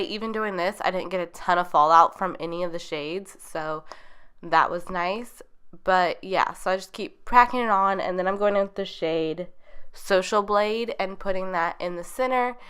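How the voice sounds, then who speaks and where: Chatty young woman, nasal, bright. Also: fairly low pitch, clean tone. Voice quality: nasal from a cold. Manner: chatty, rambling, enthusiastic. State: getting over being sick. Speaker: beauty vlogger. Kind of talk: makeup tutorial voice-over.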